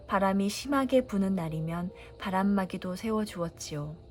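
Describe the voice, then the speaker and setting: Gentle woman reading a bedtime story. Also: fairly low pitch, slight background noise. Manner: gentle, unhurried. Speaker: storybook reader.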